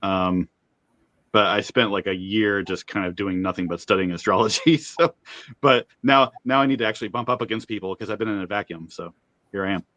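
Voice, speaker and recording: A man's voice: on the low side, a little fast, fairly bright tone, no room sound, muffled recording. A man introducing himself on a video call, thoughtful and hesitant with frequent fillers. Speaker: new guest. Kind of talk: casual chat.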